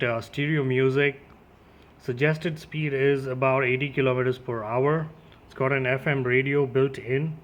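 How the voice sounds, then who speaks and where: A man's voice: mid-range voice, muffled recording, a little hiss or hum. A man giving a casual, informal unboxing and demo as a gear reviewer, addressing his viewers directly.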